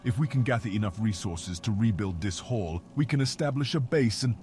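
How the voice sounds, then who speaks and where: Rendar, a man doing a curt low enforcer. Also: animated, a little fast, noisy background, lightly acted. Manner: curt, impatient. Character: blunt impatient male enforcer Rendar. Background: music.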